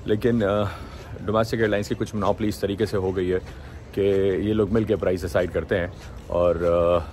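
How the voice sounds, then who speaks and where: A young man from Pakistan, giving a relaxed travel review to a phone held at arm's length and mixing English phrases into his talk. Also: low-pitched, a little fast. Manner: relaxed, talks to camera, mixes English phrases in. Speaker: travel vlogger. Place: airport terminal and plane cabin, indoors. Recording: noisy airport and cabin background.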